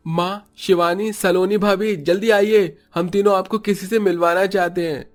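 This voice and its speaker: A man's voice: high voice, steady tone, moderate pace, faint music behind, natural voice. A man voicing a young modern newlywed son in a moral-story cartoon, breezy and familiar in manner. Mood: cheerful.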